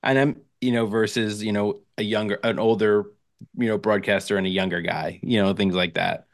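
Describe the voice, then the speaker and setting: A man's voice: fairly low pitch, fairly even intonation, moderate pace, dry recording, clean studio sound. A man co-hosting a casual sports podcast, rambling a little as he thinks aloud. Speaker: podcast co-host.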